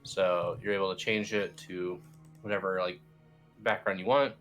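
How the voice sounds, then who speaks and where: A man with a low voice guides someone through software one click at a time. His delivery is informal and a bit hesitant, like a colleague instructing over a screen. Faint music plays underneath. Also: little pitch movement, normal speed. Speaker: team lead explaining software steps. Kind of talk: casual step-by-step screen tutorial.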